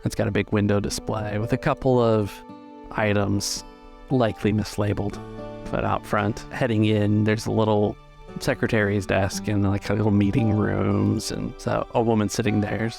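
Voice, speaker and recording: A man's voice: fairly low pitch, little pitch movement, moderate pace, vocal fry, over music. A man improvising scene narration as game master of a tabletop roleplaying game.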